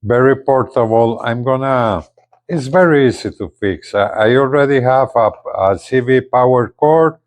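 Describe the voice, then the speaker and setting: A man presenting a casual unboxing video, speaking haltingly and often repeating his words. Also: mid-range voice. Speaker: gadget reviewer, video host.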